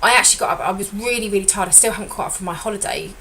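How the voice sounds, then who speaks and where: Chatty woman with a croaky voice. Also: mid-range voice, very fast, bright, forceful tone, a little hiss or hum. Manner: chatty and rambling, self-correcting. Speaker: weight loss consultant. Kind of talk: casual vlog update.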